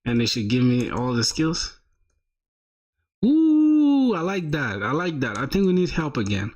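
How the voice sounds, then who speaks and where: A man's voice: on the high side, sing-song, measured pace, a little mellow. A young man live-streaming, reviewing viewers' websites in a casual, slangy way, full of "bro" and "my boy".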